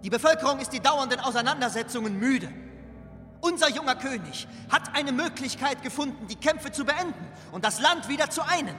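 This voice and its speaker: A man's voice: extremely high pitch, lively intonation, a little fast, pushed and bright, full character voice. An actor playing a medieval English bishop, speaking formally and oratorically for his king in a radio drama. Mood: formal, resolute. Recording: long hall reverb, faint music.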